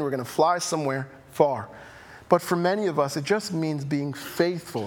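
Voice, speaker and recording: A man delivering a sermon in a church, his fairly high voice carried by a long reverberating hall. He reads scripture aloud, then explains it with an animated, emphatic delivery and light joking asides. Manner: animated, rising to emphatic highs, playful asides. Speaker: pastor.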